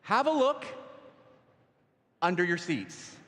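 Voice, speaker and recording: A man's voice: high-pitched, big pitch swings, slow pace, a bit bright. A man hosting a conference on stage, with playful, upbeat emcee patter. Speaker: event host. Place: large hall on stage. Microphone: stage microphone. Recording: hall reverb.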